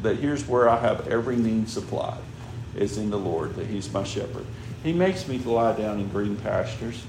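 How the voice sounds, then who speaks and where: A man's voice: medium pitch, creaky. A man preaching a sermon in a conversational way, often checking in with "all right?" and "you know". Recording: echoey room with background noise.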